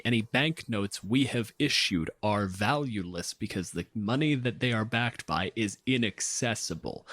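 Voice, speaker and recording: Tabletop game dwarf banker , acted by a man: worried, businesslike, hushed low delivery. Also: no echo. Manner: hushed, grave, plain-spoken. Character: anxious dwarf bank boss, grave and businesslike.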